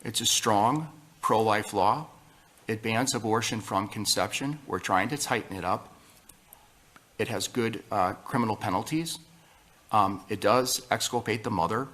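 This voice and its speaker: A man advocate making public remarks in a halting, emphatic way. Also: fairly low pitch, a bit bright. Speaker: anti-abortion activist.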